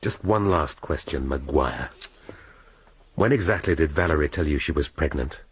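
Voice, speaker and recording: An actor playing a cultured, world-weary police inspector in a radio drama, dry and wry. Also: male voice, rumbling low, normal speed, breathy edge, full character voice. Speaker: police detective, senior officer.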